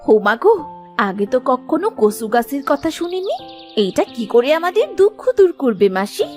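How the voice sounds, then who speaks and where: Lively, high-voiced caring village housewife. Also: female voice, normal speed. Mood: astonished. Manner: respectful, attentive, solicitous. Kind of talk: cartoon fairy-tale dialogue. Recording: faint music behind.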